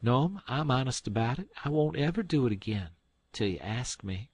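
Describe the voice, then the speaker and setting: A man voicing a cheeky, smooth-talking teenage boy who acts overly polite and innocent. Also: medium pitch, expressive, moderate pace, no room sound, voice pushed up. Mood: sheepish.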